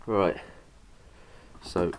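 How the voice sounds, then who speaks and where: A young man with a slight cold, narrating a PC hardware install in a halting, casual way with lots of fillers. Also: heavy background noise. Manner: halting, many fillers, talks while working. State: has a cold, slightly bunged up. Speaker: PC hobbyist showing a hardware install.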